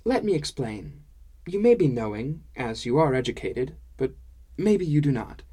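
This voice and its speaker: A man's voice: mid-range voice, sing-song, moderate pace, dark tone, pitched above the performer's own voice. A man voicing a kindly, frank, easily amused dwarf woman with quaint foreign-style phrasing in an audiobook. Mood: explaining, reflective. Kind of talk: audiobook character dialogue.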